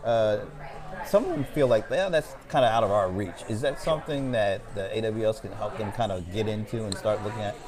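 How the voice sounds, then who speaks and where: A man's voice: on the low side, fairly quick. A man interviewing a guest at a conference, friendly and a bit hesitant. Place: busy conference floor. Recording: crowd noise behind. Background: crowd chatter.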